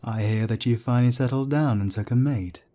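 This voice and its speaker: A man playing a calm, fatherly werewolf council elder who speaks softly and gently chides. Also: mid-range voice, a little fast, lightly acted. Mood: warm, curious. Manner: soft-spoken, gently chiding.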